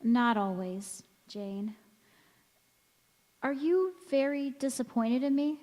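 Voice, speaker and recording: A woman playing a wistful, gentle mother in an old-time radio drama, recorded in an echoey church hall. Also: high voice, takes it slowly. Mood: gentle concern. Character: wistful, gentle mother returned as young visitor.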